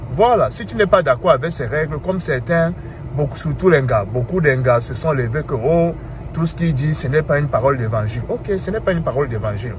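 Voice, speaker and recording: Man, thirties, Cameroonian French accent, animated, with street traffic heard clearly behind. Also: fairly high pitch. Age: 30s. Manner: animated, asks rhetorical questions, repeats "hein". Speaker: commentator. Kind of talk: casual monologue.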